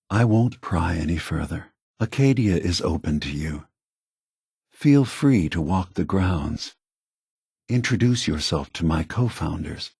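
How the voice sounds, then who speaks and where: Game android leader: husky, very unhurried, close and dry, weary, grave, measured statesman. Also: low-pitched, darkish tone, dry recording, exaggerated voice. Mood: calm, welcoming. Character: weary, solemn android leader of a refuge, male. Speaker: video game character. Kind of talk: scripted game dialogue.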